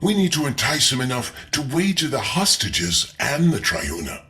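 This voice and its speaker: Shrewd strategist, lively intonation. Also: male voice, mid-range voice. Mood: scheming. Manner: deliberate, formal, sly. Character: shrewd, measured merchant strategist coaching an ally. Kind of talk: dramatic game mission briefing. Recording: loud music behind the voice.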